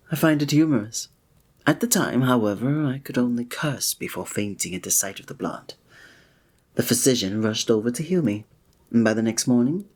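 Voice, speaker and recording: A man playing a flirty, breathy innkeeper. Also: medium pitch. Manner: formal old-fashioned wording with chuckling asides. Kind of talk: ASMR roleplay.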